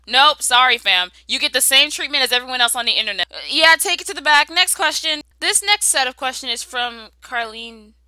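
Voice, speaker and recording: A woman's voice: sits high, expressive, fairly quick, few pauses, pushed and bright, no echo, rough recording. A woman answering viewer questions in a casual, sarcastic, slangy way, often exclaiming. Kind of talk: casual sassy Q and A commentary.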